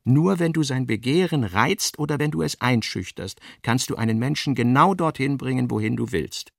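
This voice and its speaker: A man's voice: mid-range voice, lively intonation, normal speed, vocal fry, no echo, clean studio sound, pitched above the performer's own voice. A male narrator voicing an earnest middle-aged businessman father, who argues insistently and rhetorically with his daughter in a literary radio drama. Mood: forceful, argumentative.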